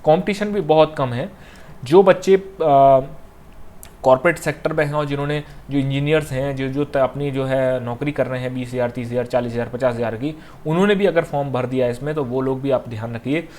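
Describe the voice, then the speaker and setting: A man's voice: mid-range voice, fairly quick, a little mellow, a little hiss or hum. A man gives informal exam advice to students as a coaching teacher, instructively repeating key points and checking in often.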